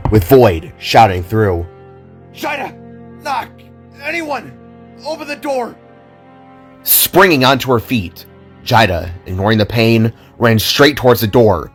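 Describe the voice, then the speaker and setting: A man narrating a scripted audio drama in a measured, theatrical storytelling manner. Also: on the low side. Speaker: narrator and reader of all parts.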